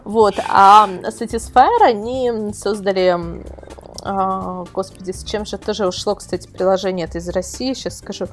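A woman's voice: medium pitch, moderate pace, faint music behind. A woman speaking as a guest expert in a casual interview, explaining things and often searching for words. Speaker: guest expert, web portal editor.

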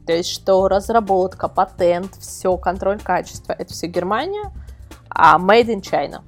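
A woman's voice: medium pitch, expressive, unhurried, faint music behind. A woman speaking as a guest reviewer in a casual podcast discussion, emphatic and repeating words for stress. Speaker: guest, product reviewer and website editor.

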